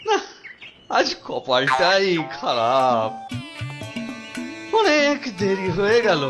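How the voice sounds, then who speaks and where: A man's voice: high-pitched, huge pitch swings, very slow, exaggerated voice. A man voicing a hapless, scheming village husband in a comic cartoon, exclaiming and muttering theatrically. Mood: self-pitying muttering. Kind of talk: Bengali comic cartoon voice acting. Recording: loud music behind the voice.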